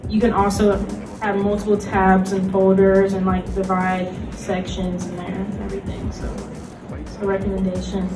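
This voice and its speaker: Woman presenting casually in a roomy space. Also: medium pitch. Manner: conversational, loose, filler-heavy with frequent "like" and small laughs. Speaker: convention panelist presenting. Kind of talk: casual, informal panel presentation. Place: convention panel room. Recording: roomy distant mic, loud music behind.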